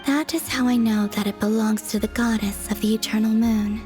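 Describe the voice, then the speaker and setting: A woman voicing an aloof, serene young moon goddess in a game cutscene, speaking softly and formally in a measured way. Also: a little high, fairly even intonation, soft background music, lightly acted. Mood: serene, solemn. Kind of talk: video game cutscene voice acting.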